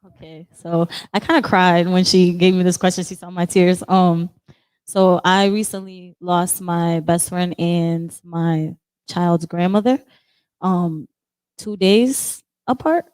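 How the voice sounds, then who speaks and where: A woman with a high, girlish voice, speaking softly and emotionally as she shares a personal story and reads a poem. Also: fairly even intonation, slow pace, smooth, no room sound. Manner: soft-spoken, emotional, apologetic. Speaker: poet sharing at an open discussion. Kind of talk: personal sharing and poetry reading.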